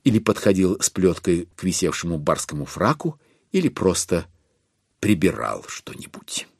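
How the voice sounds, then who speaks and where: A man narrating a classic novel as a theatrical audiobook, in a wry, expressive way with deliberate pauses. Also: low-pitched, creaky, breathy edge, dry recording, studio quality.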